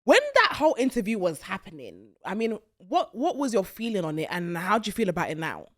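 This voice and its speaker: Woman from the uk hosting a podcast, chatty, teasing, candid, mid pitch. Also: sing-song, a little fast, a bit bright. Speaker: podcast host. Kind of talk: casual interview chat.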